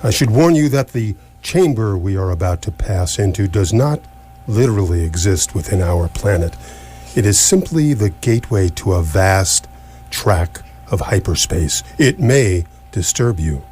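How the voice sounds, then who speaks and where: An actor playing an elderly, courtly alien planet designer in a comic radio drama, husky and rambling with understated, old-fashioned turns of phrase. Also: male voice, low-pitched, expressive, measured pace, a little mellow, slight background noise. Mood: grave, confiding.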